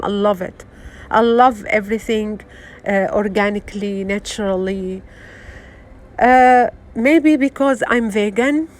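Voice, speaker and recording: A middle-aged woman from Syria with a Syrian Arabic accent, giving an unscripted motivational talk, earnest and halting, full of fillers and restarts. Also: medium pitch. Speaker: wellness vlogger.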